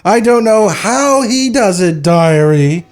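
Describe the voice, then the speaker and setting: A man playing brash, excitable old-time cartoon studio guys with exaggerated delivery in a comedic table read. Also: high voice, slow pace, voice pushed up. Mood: theatrical.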